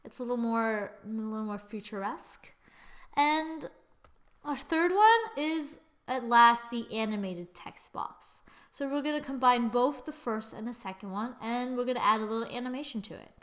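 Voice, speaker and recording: A woman with a slightly high voice talks through a screen-recorded software tutorial at her computer. She thinks aloud, corrects herself often and tosses in small exclamations as she works. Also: moderate pace. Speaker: tutorial host.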